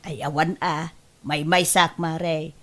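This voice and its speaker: A woman's voice: deep voice, fairly even intonation, measured pace, fairly bright tone. A woman playing a bossy, chatty, house-proud older mother in an Ilocano-accented radio comedy drama. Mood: chatty, relaxed.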